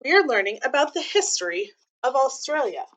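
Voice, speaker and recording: A woman reading a children's book aloud like a teacher, pausing to explain things simply and clearly to young listeners. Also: high voice, sing-song, a bit bright, low-quality recording.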